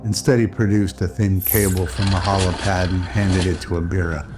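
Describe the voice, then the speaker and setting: Low, even-toned male drama narrator. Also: normal speed. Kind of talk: scripted radio drama narration, pulp noir storytelling. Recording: music under the voice.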